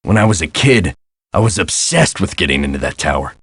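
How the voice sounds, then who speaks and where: An actor playing a gruff, put-upon castle hotel caretaker in a video game, with a folksy, sardonic and exasperated way of talking. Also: male voice, rumbling low, sing-song, a little fast, fairly bright tone, no echo, heavily acted. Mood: wry, reflective. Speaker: caretaker and front desk clerk. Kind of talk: scripted video game character lines.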